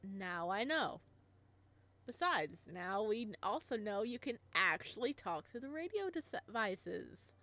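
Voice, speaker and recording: A woman's voice: medium pitch, big pitch swings, slow, bright, forceful tone, exaggerated voice. A woman reading the lines of an eccentric single dad and inventor in a visual novel, earnest and often stammering. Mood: flustered.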